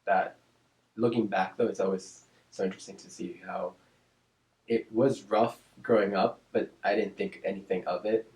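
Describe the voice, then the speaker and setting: A man who grew up in Oakland, California, speaking from a deep, low register. His first language is Spanish, and he talks in a measured, reflective way, searching for words with ums and restarts. The recording sounds roomy, with a noticeable echo around him. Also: steady tone, darkish tone. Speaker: interview guest, speech-language pathologist. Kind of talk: reflective casual interview.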